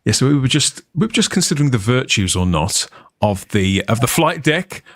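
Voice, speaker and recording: Lively middle-aged host with a Birmingham accent. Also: male voice, medium pitch, a little fast, no echo, clean studio sound. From England. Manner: playful, jokey, lively. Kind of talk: live stream chat.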